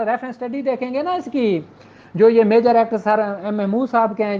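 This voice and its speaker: A middle-aged man with a Pakistani accent giving an informal, explanatory law lecture in a conversational, familiar way. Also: high voice. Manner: conversational, addresses listeners as his children and siblings. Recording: dull lo-fi audio.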